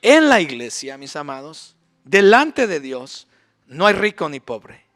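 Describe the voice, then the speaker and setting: A man's voice: fairly high pitch, sing-song, measured pace, a bit bright. A middle-aged male pastor preaching a sermon in a church, emphatic, with rhetorical questions. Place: church sanctuary.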